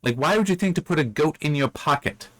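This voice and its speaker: A man giving casual, unscripted commentary on a story as a reviewer, in a bemused, halting, rambling way that often repeats words. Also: a little high, expressive, a bit bright, no echo. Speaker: book reviewer reading and commenting.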